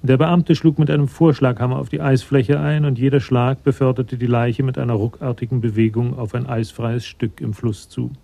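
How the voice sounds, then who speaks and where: A man's voice: medium pitch, fairly even intonation, normal speed, vocal fry, few pauses, warm tone, dry recording. A man reading scripted literary narration as the storyteller of a radio drama.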